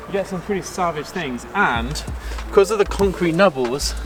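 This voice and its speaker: A fairly high-voiced man chats to the camera outdoors, breezy and enthusiastic. He keeps dropping in "like" as he points things out. Clear music plays behind him. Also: expressive, moderate pace. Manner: chatty and enthusiastic, many fillers like "like". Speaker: climbing vlogger. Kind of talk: casual talk to camera. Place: outdoors beside a concrete wall.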